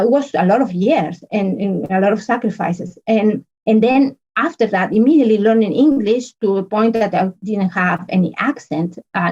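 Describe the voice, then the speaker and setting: A woman, an earnest podcast guest talking in halting phrases full of restarts and fillers. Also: mid-range voice, a little mellow, muffled recording. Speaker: podcast guest, business consultant. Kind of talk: casual interview conversation.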